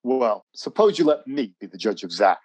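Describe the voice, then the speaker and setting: Officious major with swooping pitch. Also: male voice, big pitch swings, moderate pace, darkish tone, dry recording. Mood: insistent. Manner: formal, insistent. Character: officious, skeptical military major pressing for answers. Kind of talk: old-time radio drama acting.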